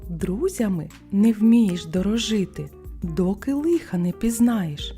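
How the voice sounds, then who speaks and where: A woman's voice: medium pitch, expressive, takes it slowly, dark tone, faint music behind. A woman voicing a small female bird in a children's tale, teasing and a little preachy. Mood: teasing, admonishing. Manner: teasing, admonishing. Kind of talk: storybook character voice.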